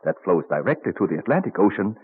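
An elderly, scholarly statesman with a deep voice that rises and falls in lively swells. He is fond and musing, savouring his words. It sounds like a muffled old radio play. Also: fast talker, husky, exaggerated voice. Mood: fond, proud. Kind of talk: old-time radio drama acting. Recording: muffled vintage radio recording.

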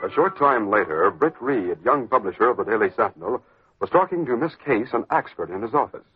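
A man's voice: on the low side, expressive, a little fast, soft background music. Dramatic, swooping male radio announcer. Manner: declamatory, urgent. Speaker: announcer and narrator. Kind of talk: dramatic 1940s radio scripted narration. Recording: muffled old radio recording.

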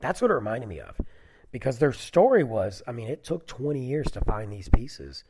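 A man's voice: mid-range voice, very animated, normal speed, a little mellow, a little hiss or hum. A man in his forties, stuffy from a cold, chatting casually on his own and rambling through stories with lots of fillers. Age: 40s. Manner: rambling, digressive, many fillers. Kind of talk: casual solo chat.

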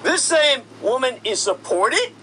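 A man with a notably high voice, talking in a long, aggrieved monologue. He sounds accusatory and indignant and keeps pushing up into sharper, louder bursts. There is a faint hum behind him. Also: sing-song, a little slow. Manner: indignant, accusatory, rising to shouty outbursts. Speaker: commentator. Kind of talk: monologue rant.

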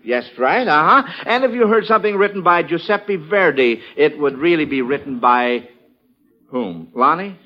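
A man's voice: fairly high pitch, lively intonation, moderate pace. An American man hosting a 1940s radio quiz show for children, with a folksy, enthusiastic showman's delivery. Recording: dull vintage 1940s radio broadcast.

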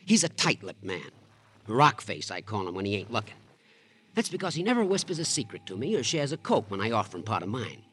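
Coy, swooping, nosy roommate. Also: male voice, on the low side, very animated, a little fast, fairly bright tone. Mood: gossipy. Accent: American. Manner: arch, playful, sing-song prying. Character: coy, nosy, fussy male roommate, gossipy and giggly. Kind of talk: 1940s-50s radio drama acting. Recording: noisy old radio recording.

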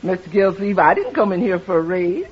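An actress playing a shrewd, folksy housekeeper who speaks emphatically of herself by name in an old radio comedy. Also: female voice, on the low side, lively intonation, a little fast, husky. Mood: pointed, sly. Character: warm, folksy, shrewd woman housekeeper and cook. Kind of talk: 1950s radio comedy acting. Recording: dull old radio recording.

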